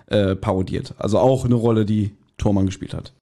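A man's voice: lowish voice, steady tone, brisk, mellow, slight background noise. A man hosting a podcast, casually talking through background details of audio dramas. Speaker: podcast host.

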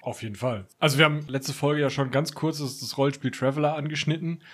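A man's voice: on the high side, fairly even intonation, fast talker. A man explaining things casually as a guest on a podcast.

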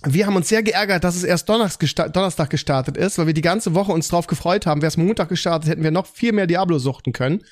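Guy podcast host, voice a bit high, casual rambly chat, keeps stopping and correcting himself. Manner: rambling, halting word searches, self-correcting.

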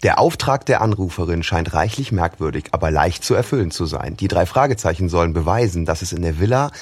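Low husky lively male podcaster. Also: a little fast. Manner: expressive, playful. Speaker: podcast co-host. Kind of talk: casual podcast chat and reading aloud.

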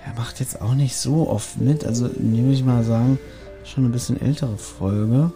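A man co-hosting a casual podcast, thinking aloud in quick bursts while he guesses. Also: medium pitch, warm tone, soft background music. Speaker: podcast co-host guessing episodes.

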